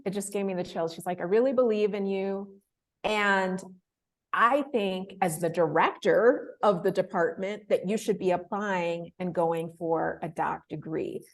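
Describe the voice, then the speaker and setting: A woman in her thirties, a guest in an interview, telling her story in a casual, animated way, laughing often and acting out the voices of people she quotes. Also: fairly low pitch, a little slow. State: emotional, close to tears. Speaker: interview guest, fellowship winner.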